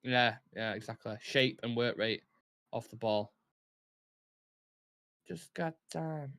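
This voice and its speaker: Blunt Mancunian man, bright voice. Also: medium pitch, slow pace. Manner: blunt, sweary, opinionated. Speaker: football watch-along host. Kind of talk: casual live match commentary and chat.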